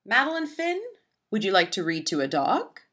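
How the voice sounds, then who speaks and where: A woman voicing a kindly, encouraging female librarian in a children's storybook, with a gentle, inviting manner. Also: mid-range voice, sing-song, moderate pace.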